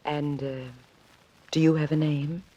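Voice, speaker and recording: A woman's voice: low voice, flat delivery, measured pace, mellow, a little hiss or hum. An actress playing a cool, secretive and anxious woman in trouble in a 1940s radio drama. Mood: cool, coy. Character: anxious, cool, secretive woman being blackmailed, pleading for help. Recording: dull vintage 1940s radio recording, faint music.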